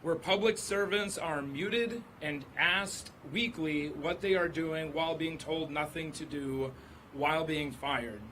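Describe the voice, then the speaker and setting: A hoarse man, a state senator, speaking at an outdoor press conference, stacking up a long list of grievances. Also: on the high side, unhurried, pushed and bright, a little hiss or hum, low-quality recording. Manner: building lists of grievances.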